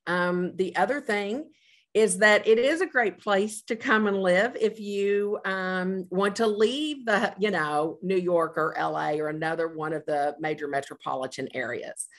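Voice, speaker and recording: A middle-aged woman on a panel, speaking earnestly and in a measured way, with frequent ums. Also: fairly low pitch. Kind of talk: panel discussion.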